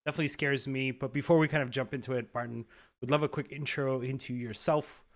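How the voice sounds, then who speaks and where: A man's voice: mid-range voice, steady tone, fast. A man hosting a live stream, talking casually in an upbeat way, with lots of fillers and repeated words.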